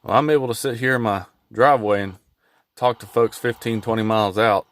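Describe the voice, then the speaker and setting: Low-voiced man, casual and folksy. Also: fairly bright tone. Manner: laid-back, folksy, halting. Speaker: hobbyist vlogger showing his truck radio install. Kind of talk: casual unscripted how-to vlog. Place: in and around a pickup truck in a driveway.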